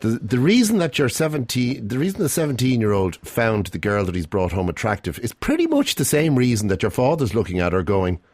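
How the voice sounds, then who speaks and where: Teasing Irish radio host, husky. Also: male voice, medium pitch, brisk, hardly any pauses. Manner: teasing, jokey, lively. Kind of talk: casual radio chat. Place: radio studio.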